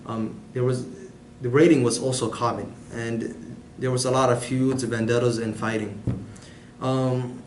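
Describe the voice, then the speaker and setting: Student guy doing a class presentation, lots of ums, pretty monotone, echoey room. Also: mid-range voice, a little slow, a little hiss or hum. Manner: hesitant, many "um" fillers.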